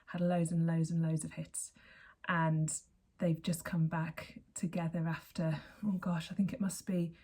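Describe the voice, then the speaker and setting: A woman in her forties with a hoarse voice, hosting her knitting podcast in a chatty, rambling way and often correcting herself mid-sentence. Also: low voice, flat delivery, a little slow, warm tone. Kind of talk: casual chat to camera.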